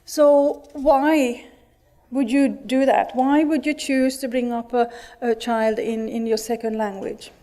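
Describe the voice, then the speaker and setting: A woman's voice: a little high, steady tone, takes it slowly, warm tone. A middle-aged woman from Finland with a Finnish accent, giving an earnest conference talk with many restarts and fillers. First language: Finnish and Swedish. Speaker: conference speaker. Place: conference hall.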